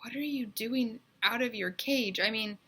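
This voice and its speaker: An officious male functionary from a children's storybook, voiced by a woman reader. He is bossy and interrogating, with a bright, forceful sound. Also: a little high, unhurried, breathy edge, voice pushed up. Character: officious mustached official with attaché case and rules.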